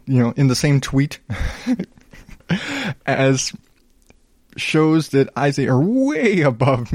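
A man in his thirties hosting his own podcast, rambling casually in a calm, smooth voice and poking fun at himself. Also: medium pitch, dry recording, clean studio sound. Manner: rambling, self-deprecating, reads reviews aloud. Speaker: podcast host. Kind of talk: casual solo podcast monologue.

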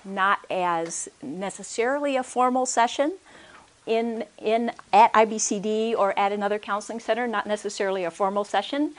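A soft-spoken woman giving a conference workshop talk into a microphone, hesitant, with many restarts and check-ins with the audience. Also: medium pitch, normal speed. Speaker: workshop speaker. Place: conference room. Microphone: handheld or stand microphone.

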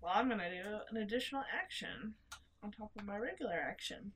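A woman playing in a tabletop game, hesitant and giggly, with many pauses and fillers. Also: mid-range voice, expressive, slightly rough. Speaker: player. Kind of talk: casual tabletop play.

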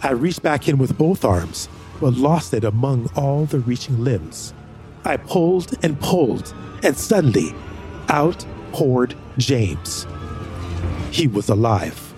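A man narrating a first-person audio drama with expressive delivery. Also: takes it slowly, soft background music. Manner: expressive, rising pitch in dialogue.

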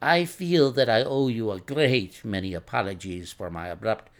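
A man voicing a courteous, apologetic old Russian gentleman in a storybook reading, speaking slowly and formally. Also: lowish voice, voice pushed down, lightly acted.

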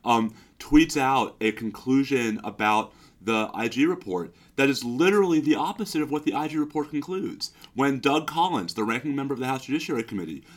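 A man co-hosting a legal podcast, hoarse and sick, talking in a weary, halting way with many restarts. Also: medium pitch, lively intonation. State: hoarse from illness. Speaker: podcast co-host. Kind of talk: casual legal podcast chat.

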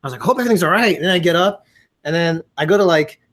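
Animated, joking podcast host, higher voice. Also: male voice, racing. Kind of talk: casual comedic chat.

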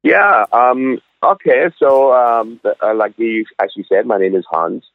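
A man in his sixties from Switzerland, a guest restaurant owner, telling his story in a halting way with many fillers and restarts. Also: medium pitch, no echo. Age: 60s. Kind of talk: casual interview storytelling. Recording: dry, tinny phone line.

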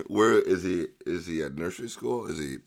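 A man co-hosting a casual comedy podcast, joking and laughing through quick back-and-forth chat. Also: low-pitched, lively intonation, vocal fry, slight background noise. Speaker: podcast co-host.

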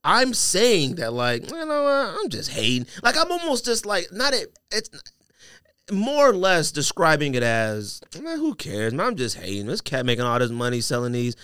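Male podcast host, casual slangy storyteller who voices dialogue; highly animated, close dry sound. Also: moderate pace, smooth, no room sound.

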